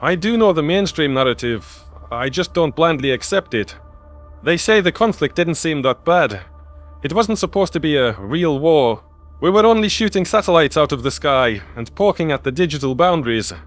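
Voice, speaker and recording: A man's voice: fairly high pitch, animated, moderate pace, faint music behind, voice pushed up, natural voice. A man voicing an opinionated young human crew member who argues colloquially in a science fiction audio story. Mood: defensive, explaining. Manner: argumentative, colloquial.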